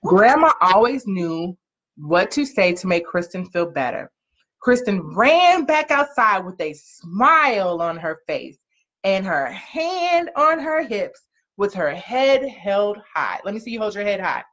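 A woman in her thirties, a mother reading and chatting for a children's story time in an animated, encouraging way, recorded on a webcam in a living room. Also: medium pitch. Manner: animated, encouraging call-and-repeat delivery with drawn-out phrases. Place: small living room, on a couch. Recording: slightly dull home webcam audio.

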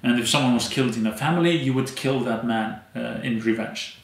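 A man in his thirties from Iceland, a native Icelandic speaker, telling stories as an interview guest with many pauses and fillers. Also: mid-range voice, roomy sound, poor audio. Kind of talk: conversational storytelling. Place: dim indoor room, seated in armchair.

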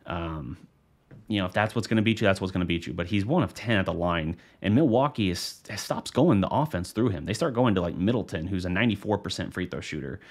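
An American man with a low-pitched voice hosts a postgame sports breakdown. He talks off the cuff in a casual, rambling flow, close to a studio mic in a small room. He sounds like he is in his thirties. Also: expressive, quick pace. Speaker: sports show host and analyst. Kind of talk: casual unscripted basketball postgame commentary. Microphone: studio microphone on a boom arm.

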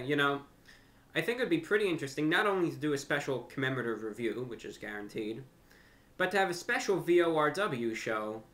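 A young man speaks to camera in a bright, forceful voice at a middling pitch. His phrasing is deliberate and a little formal, with pauses and repeated words as he works through his points like an online host. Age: young adult. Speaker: YouTube host and amateur radio broadcaster. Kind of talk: unscripted talk to camera. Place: furnished room at home, indoors.

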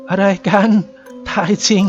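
A man voicing a polite, frightened Japanese woman in her late twenties who speaks softly and pleads. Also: measured pace, highs cut off, soft background music, natural voice. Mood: disbelieving.

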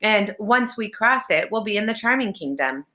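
A woman's voice: mid-range voice, lively intonation, moderate pace, sharp tone, pitched above the performer's own voice. A woman voicing a confident, eager young twin sister in a children's storybook, chirpy and insistent.

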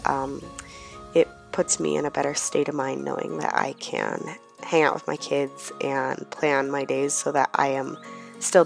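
A woman vlogger, hoarse from a cold, chatting casually in a rambling voice-over with many ums. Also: low-pitched. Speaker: planner vlogger.

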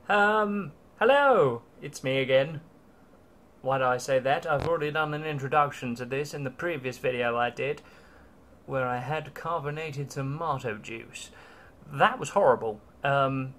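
A man's voice: a little high, fairly bright tone. A young British man in a casual food-tasting vlog, chatty and wry, with many ums and restarts, filmed in a small hotel room. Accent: British English. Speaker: travel vlogger.

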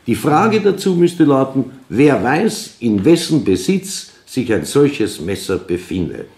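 An actor playing a courteous, officious police official with an Austrian accent in a radio drama, speaking formally and emphatically. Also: male voice, mid-range voice, sing-song, a little slow, a little mellow. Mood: businesslike. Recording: roomy echoing hall.

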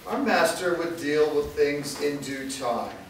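A man's voice: a little high, slow. A man playing a haughty vampire elder in a staged play reading, with a scornful manner. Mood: haughty, defiant. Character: haughty male vampire elder, servant of a master. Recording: echoey room, far mic, faint noise.

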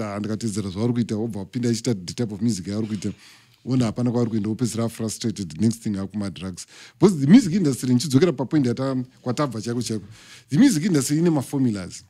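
Zimbabwean guy on a podcast, talks fast and kind of lectures, dark mellow tone. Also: mid-range voice. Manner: emphatic, lecturing, talks with his hands. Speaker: podcast guest, music industry figure. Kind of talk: podcast interview, mixing English and Shona. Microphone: studio mic on boom arm.

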